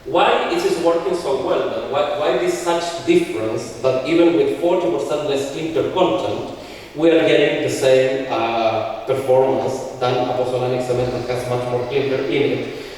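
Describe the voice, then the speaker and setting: A man's voice: mid-range voice, moderate pace, darkish tone, a little hiss or hum. A man from Chile giving a technical conference talk, explaining slides step by step with frequent restarts, heard from far off in a large hall. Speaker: conference speaker.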